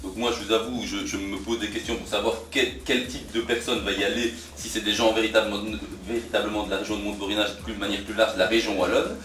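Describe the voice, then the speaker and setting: A man talks quickly in a spontaneous political debate, doubling back on his words. The room echoes and he sits a little away from the microphone. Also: lowish voice, level tone, fairly bright tone. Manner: hesitant, repeats words while reasoning. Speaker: local politician in a panel debate. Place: cafeteria. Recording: echoey room, slightly distant mic.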